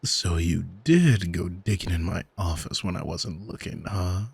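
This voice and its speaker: A man playing an arrogant demon in an ASMR audio drama, smug and teasing, speaking deliberately and intimately to the listener with a husky voice, close on the microphone. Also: sits low, no room sound, full character voice. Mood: amused, mocking. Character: arrogant male demon who owns a soul, smug, taunting. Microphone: close studio microphone, dry.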